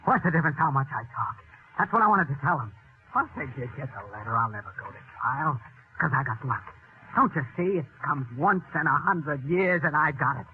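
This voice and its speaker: A man's voice: a little high, lively intonation, fairly quick, lightly acted. An actor playing a nervous man with a guilty secret, hesitant and stammering, in 1940s radio style. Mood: feverish insistence. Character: nervous lucky man hiding a murder. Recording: muffled old radio recording, music behind.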